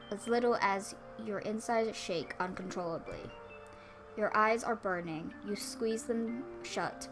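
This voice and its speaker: A woman reading a visual novel aloud as a let's play host, dropping in casual asides. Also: mid-range voice. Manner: informal asides between read passages.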